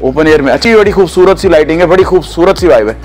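A man's voice: on the high side, fast talker, a little mellow, faint music behind. A man, chatting enthusiastically while touring a gym at night and asking questions. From Pakistan. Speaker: vlogger reviewing a gym. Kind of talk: casual walking vlog tour. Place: outdoor and indoor gym at night. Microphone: handheld phone mic.

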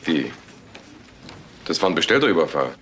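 A man's voice: extremely low pitch, sing-song, moderate pace, fairly bright tone, heavily acted. A man playing a blunt, colloquial police detective in a crime radio drama. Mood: puzzled. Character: blunt, puzzled male junior police detective. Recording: clearly noisy track with clicks.

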